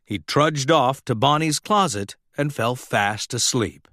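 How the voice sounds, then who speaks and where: A man narrating a scripted children's story in a dramatic, expressive, deliberate way. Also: medium pitch, no room sound, clean studio sound.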